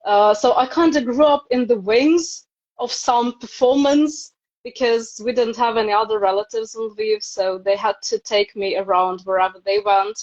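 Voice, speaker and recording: A woman's voice: fairly high pitch, moderate pace, a little mellow. A woman in her thirties from Ukraine, a native Ukrainian speaker with a Ukrainian accent, chatting casually as an interview guest on a video call. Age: 30s. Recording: dull, lo-fi video live stream.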